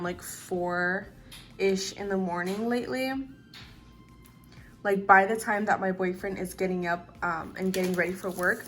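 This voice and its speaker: Young woman in her twenties, casual vlogger, slightly raspy and tired, relaxed chatty delivery. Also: mid-range voice. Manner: relaxed, chatty, uses "like" and "literally" often. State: slightly raspy from lack of sleep. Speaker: budgeting vlogger. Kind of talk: casual chat to camera.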